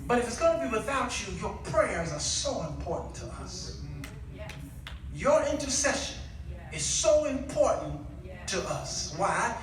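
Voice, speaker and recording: A man preaching an impassioned sermon, exhorting his listeners with pauses and rhetorical questions. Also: very high voice, sing-song, a bit bright. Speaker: guest preacher. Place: large echoey indoor church with congregation. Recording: echoey church, distant mic, congregation responding.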